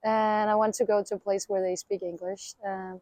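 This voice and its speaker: A young woman from Denmark speaks English with a Danish accent, relaxed and upbeat as she answers interview questions. Her pitch is mid-range. The recording is dry and close. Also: normal speed, no echo. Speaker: exchange student interviewee.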